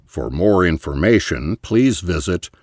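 A man's voice: low voice, expressive, unhurried, a little mellow, no echo, studio quality. A man working as a podcast announcer, reading a scripted show introduction and sign-off.

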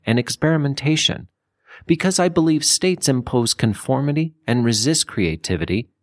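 A man reading a nonfiction book as an audiobook narrator, with measured, deliberate phrasing and emphasis. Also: fairly low pitch, no echo, clean studio sound.

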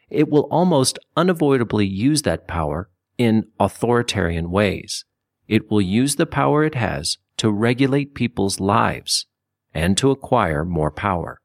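Low-voiced male audiobook narrator, expressive intonation. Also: no room sound, studio quality. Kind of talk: scripted audiobook narration of a nonfiction political book.

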